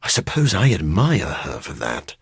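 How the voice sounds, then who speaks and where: Video game vampire gentleman: lowish, gravelly voice, measured and theatrical, dry wit over a brooding core. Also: wildly swooping pitch, no room sound, heavily acted. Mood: earnest, wistful. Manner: formal, measured, wry and theatrical delivery. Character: brooding, dryly witty, gentlemanly male scientist vampire. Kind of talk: scripted video game character lines.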